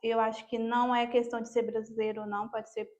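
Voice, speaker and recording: Woman, Brazilian accent, fairly high voice, casual conversation, podcast guest. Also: little pitch movement, fast, darkish tone, dull audio. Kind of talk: casual conversation, sharing personal experience.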